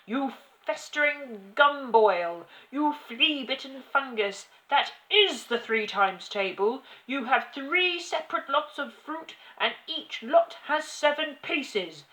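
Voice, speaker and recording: A domineering headmistress roars in a loud, high-pitched voice. She is a bullying tyrant, drawing out her words with furious, scornful emphasis. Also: exaggerated voice, low-quality recording. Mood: furious, bellowing. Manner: bellowing, emphatic insults with drawn-out words. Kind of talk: children's storybook character reading.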